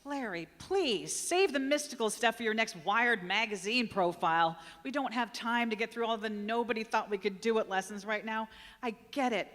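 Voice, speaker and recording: A woman's voice: on the high side, normal speed, fairly bright tone, close to an everyday voice. A woman playing a determined, impatient, no-nonsense executive in a live radio drama. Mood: exasperated. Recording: live stage show, reverberant hall.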